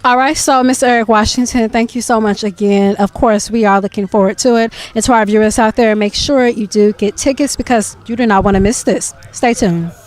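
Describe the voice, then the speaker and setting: A woman's voice: fairly high pitch, steady tone, brisk, hardly any pauses. A woman hosting an interview show, upbeat and chatty, with many fillers. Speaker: host. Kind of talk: casual interview.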